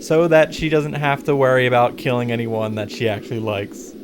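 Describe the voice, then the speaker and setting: A man's voice: mid-range voice, background noise. A man narrating a comedic scripted recap as a video host, wry, playful and casually irreverent.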